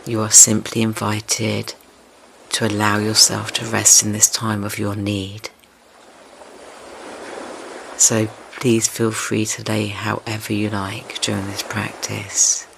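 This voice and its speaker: A man leading a guided meditation in a gentle, soothing, tender manner, unhurried and with long pauses between phrases. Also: lowish voice, gravelly, poor audio. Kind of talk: slow guided meditation, yoga nidra. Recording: steady ocean wave noise behind voice. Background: ocean wave sounds.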